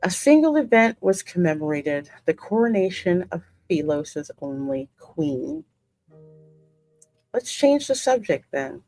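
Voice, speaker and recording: A woman hosting a gaming channel, reading game dialogue aloud in an expressive, theatrical way with casual reactions. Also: fairly low pitch, a little slow, poor audio. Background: game music.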